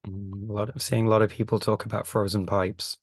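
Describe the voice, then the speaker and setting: A man co-hosting a podcast with a croaky voice from recent illness, chatting in a wry, thoughtful way. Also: lowish voice, flat delivery, fairly quick, no echo. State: croaky voice after a chest infection. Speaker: podcast co-host. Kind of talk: casual podcast chat.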